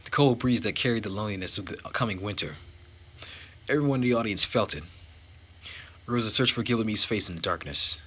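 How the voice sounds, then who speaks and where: Low-voiced man narrating, bright tone. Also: a little fast, long pauses, husky. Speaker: let's play host and narrator. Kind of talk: dramatic read-aloud narration of a visual novel.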